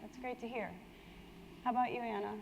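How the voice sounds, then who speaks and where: A woman's voice: a little high, steady tone, brisk, creaky, dull audio. A woman moderating a live conference panel, addressing the room and introducing speakers. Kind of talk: hosting a live panel, partly reading introductions from a script. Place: indoor conference hall on stage. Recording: echoey hall, long reverb.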